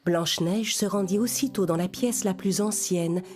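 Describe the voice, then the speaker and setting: A woman's voice: mid-range voice, moderate pace, over music. A woman narrating a scripted bedtime story for young children.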